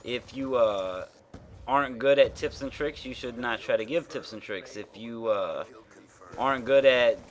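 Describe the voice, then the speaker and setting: A man's voice: medium pitch, a little slow. A man with a nasal voice giving frank, rambling commentary over gameplay as a gaming YouTuber, full of fillers and the odd swear.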